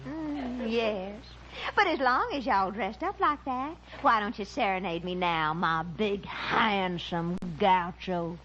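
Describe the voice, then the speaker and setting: Vintage radio comedy: coy, affectionate lady sweetheart, very animated, on a noisy old recording. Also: mid-range voice, highs cut off. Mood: coy, admiring. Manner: coy, gushing, drawn-out. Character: flirtatious, teasing lady sweetheart, giggly and affectionate. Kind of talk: 1940s-50s radio comedy acting.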